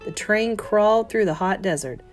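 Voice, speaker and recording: A woman, a teacher, reading a children's picture book aloud in an expressive, carefully phrased, dramatic manner. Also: medium pitch, moderate pace. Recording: soft guitar music behind voice. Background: plucked guitar music.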